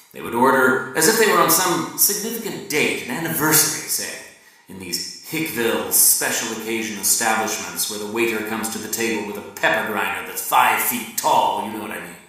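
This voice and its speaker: Guy doing a theatrical book reading, bright forceful voice, really echoey room. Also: lowish voice. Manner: theatrical, deliberate pauses, swinging from hushed to emphatic. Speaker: reader performing a novel excerpt. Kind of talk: dramatic literary reading as a raconteur's monologue. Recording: echoey room, distant from the mic.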